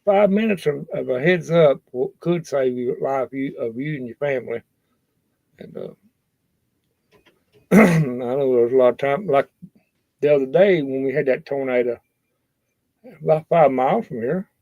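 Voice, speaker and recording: A man's voice: medium pitch, moderate pace, creaky, long pauses, warm tone, no echo. A man giving a casual, rambling safety talk in a folksy, earnest and blunt manner. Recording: dull, lo-fi sound.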